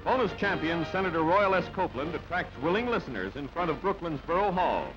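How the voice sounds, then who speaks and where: A man's voice: on the high side, animated, brisk. A man reading scripted newsreel narration in a clipped, punchy, dramatic way on an old soundtrack. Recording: dull band-limited old newsreel soundtrack. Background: music.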